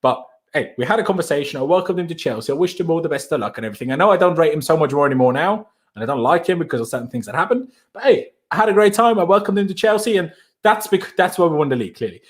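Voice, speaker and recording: A young man, German by mother tongue, hosts a football live stream and reads out viewer questions. He speaks English with a German accent in a slightly high, chatty and animated voice. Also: racing. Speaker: football channel host.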